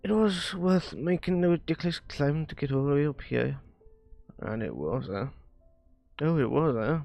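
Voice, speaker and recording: A man reading visual novel dialogue aloud on a live stream, haltingly, often stumbling over words and repeating phrases. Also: fairly high pitch, animated, creaky, a little mellow, faint music behind.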